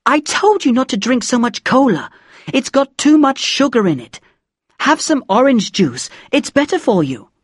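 Narrator putting on a high-pitched, nagging, angry mother. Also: sing-song, moderate pace, slightly rough, dry recording, studio quality, exaggerated voice. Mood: nagging, scolding. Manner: scolding, complaining, insistent. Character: nagging, angry, possessive middle-aged mother.

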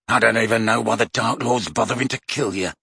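Man doing a sneering thug, taunting, volatile, husky, dry. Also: mid-range voice, fairly quick, pushed and bright, dry recording, exaggerated voice. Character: lumpy male henchman, mocking and volatile.

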